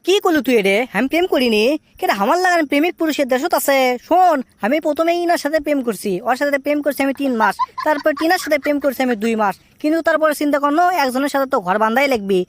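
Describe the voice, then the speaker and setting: Man voicing a very fast, high-pitched cheeky cartoon friend, Bogura accent. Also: lively intonation. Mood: boastful. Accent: Bogura, Bangladesh. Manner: teasing, brash, rambling. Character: cheeky, boastful young man scolding his lovesick friend. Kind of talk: comic cartoon dialogue.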